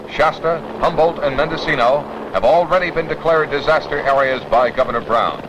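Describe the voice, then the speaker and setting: An American man narrates a 1960s newsreel with formal, dramatic weight. His voice sits a little high for a man, and the old soundtrack carries clear noise behind him. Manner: formal, dramatic announcer delivery.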